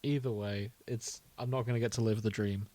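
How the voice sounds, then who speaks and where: A man's voice: on the low side, steady tone, brisk, dark tone, dry recording. A British man co-hosting a podcast in casual, jokey banter. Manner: jokey, prone to laughing. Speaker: podcast co-host.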